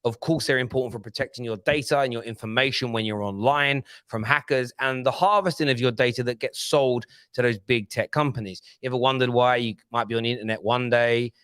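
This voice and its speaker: A man's voice: medium pitch, fairly quick, hardly any pauses, fairly bright tone, smooth, dry recording. A man presenting a football panel show in a studio, talking casually and insistently as he holds the floor.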